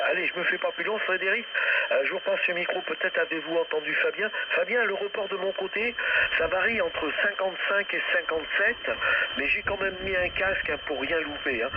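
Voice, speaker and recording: A man talking courteously and chattily as an amateur radio operator in a casual exchange over shortwave radio. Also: a little high. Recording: noisy, muffled distant shortwave radio reception. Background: loud radio static.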